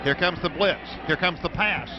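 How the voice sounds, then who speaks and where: A man's voice: high-pitched, moderate pace. An American man calling live football play-by-play on the radio from a stadium booth. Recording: dull, noisy old radio broadcast.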